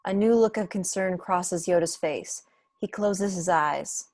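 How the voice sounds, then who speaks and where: A woman delivers scripted narration for a home table read. Her voice is a bit low for a woman, and the sound is dry with little room in it. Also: a little slow, darkish tone, dry recording. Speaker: reader of stage directions. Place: home room, on a video call.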